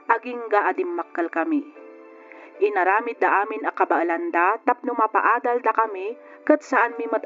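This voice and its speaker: A man's voice: high-pitched, a bit bright. Male narrator, Ilocano accent; scripted letter-reading for radio drama, muffled sound, music underneath.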